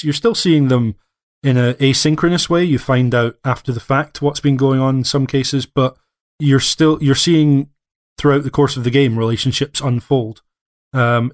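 A man co-hosting a game podcast, thinking aloud and hedging with frequent fillers. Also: mid-range voice. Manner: thoughtful, hedging with many fillers. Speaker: podcast co-host. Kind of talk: casual game discussion.

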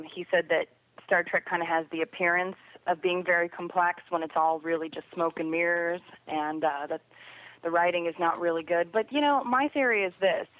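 A woman's voice: fairly low pitch, dry recording, narrow, tinny audio. A woman giving casual, opinionated commentary as a podcast guest, heard over a remote call connection. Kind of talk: casual opinionated panel chat. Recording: thin band-limited phone or radio-like line.

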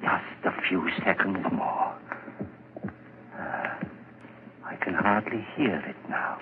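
A man's voice: low voice, slow. An actor playing a tense male murderer in a 1940s radio drama, talking to himself in an obsessive, menacing half-whisper. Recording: muffled old radio broadcast with hiss.

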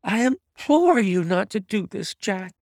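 A man's voice: high-pitched, sing-song, unhurried, darkish tone, no room sound, studio quality, pitched above the performer's own voice, heavily acted. A man voicing an anxious, secretive wife who pleads desperately and emphatically in an audiobook mystery. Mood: desperate pleading. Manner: imploring, emphatic, breathless entreaty. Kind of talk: dramatized audiobook reading of a classic mystery story.

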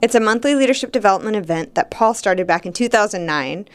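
A woman's voice: medium pitch, expressive, fast talker. A woman co-hosting a leadership podcast as an executive coach, upbeat and enthusiastic. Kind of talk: podcast chat.

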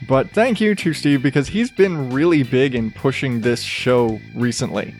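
A man talks through his own podcast with a soothing, even voice and a loose, rambling way of speaking. He swears freely and keeps things casual. Faint music sits quietly underneath him. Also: mid-range voice, moderate pace. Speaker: podcast host. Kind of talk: casual solo podcast chat.

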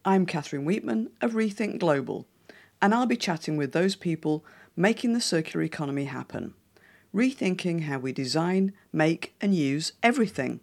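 A woman hosting a podcast, reading a scripted intro with an upbeat, measured delivery, then opening an interview. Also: fairly low pitch, no room sound. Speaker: podcast host.

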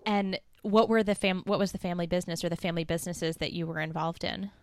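Low-voiced woman podcast host. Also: little pitch movement, fast, dry recording, clean studio sound. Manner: supportive, brief affirming replies. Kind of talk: podcast interview.

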